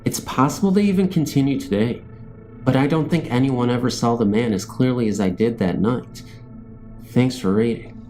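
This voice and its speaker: Mellow male storyteller reading over music. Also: medium pitch, normal speed. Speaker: narrator and host of a scary story channel. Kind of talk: scripted storytelling narration.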